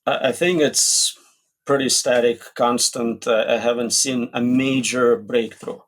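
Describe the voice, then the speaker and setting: A man speaks as the expert guest in a conversational interview, at a middling pitch. He explains things in short, plain statements and leans on simple examples. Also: fairly even intonation, measured pace. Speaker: interview guest, AI safety researcher.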